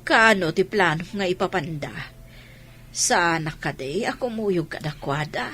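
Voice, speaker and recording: A woman playing an excitable, fretful middle-aged village mother in an Ilocano radio comedy drama, with exclamations and drawn-out scolding. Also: on the low side, husky, exaggerated voice. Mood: weary, resigned. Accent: Filipino, Ilocano.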